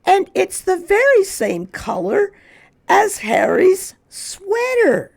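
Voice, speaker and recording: A storyteller voicing an excited young child in a children's picture book. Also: very high-pitched, slow, vocal fry, pitched above the performer's own voice, full character voice.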